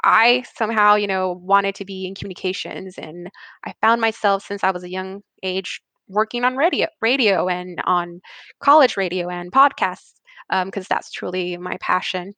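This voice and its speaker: A woman's voice: mid-range voice, moderate pace, pushed and bright, dry recording. A woman in her thirties from Puerto Rico, a podcast guest talking candidly with frequent laughs and self-corrections. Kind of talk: conversational interview.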